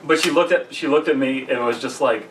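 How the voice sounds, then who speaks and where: A man co-hosting a podcast, telling rambling casual anecdotes and repeating himself haltingly. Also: mid-range voice, fairly bright tone, distant mic, rough recording. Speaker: podcast co-host. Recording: slightly off-mic with faint noise.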